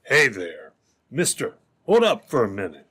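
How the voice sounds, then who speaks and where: An actor playing a big, crafty frontier robber with folksy talk in an old-time radio drama. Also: male voice, mid-range voice, wildly swooping pitch, a little slow, pushed and bright, slightly rough, heavily acted. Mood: falsely friendly. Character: big burly frontier robber posing as traveler.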